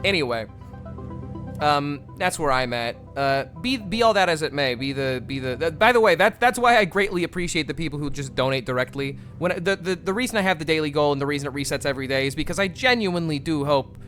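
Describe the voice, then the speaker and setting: A man's voice: on the high side, animated, fast talker, fairly bright tone, soft background music. A man streaming a game and chatting candidly with his viewers, rambling with restarts and swearing. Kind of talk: casual livestream chat with viewers.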